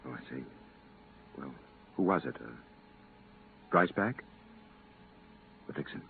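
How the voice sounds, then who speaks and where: An actor playing a polite, persuasive visiting captain on official business, coaxing and a little hesitant, in 1950s radio drama style. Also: male voice, rumbling low, lively intonation, slow, gravelly, exaggerated voice. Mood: uneasy. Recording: tinny, band-limited, hissy 1950s radio recording.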